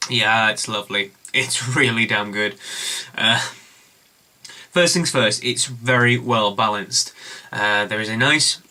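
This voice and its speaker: A young Englishman reviewing a beer at home in a casual vlog, enthusiastic and rambling with plenty of fillers, his voice slightly hoarse. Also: on the low side, slow pace, pushed and bright. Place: home room with bookshelves, indoors.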